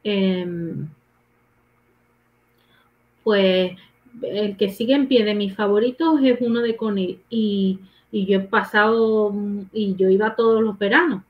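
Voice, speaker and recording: Middle-aged female guest, lively and emphatic, muffled call audio from a home room. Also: slow pace. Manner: animated storytelling, rises in pitch for emphasis. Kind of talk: casual video-call chat.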